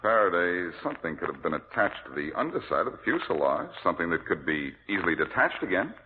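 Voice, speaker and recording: An actor playing a shrewd, self-assured amateur detective with a wry manner, in 1940s radio drama style. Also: male voice, deep voice, big pitch swings, quick pace. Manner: wry, sardonic. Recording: echoey, hissy narrow-band old radio recording.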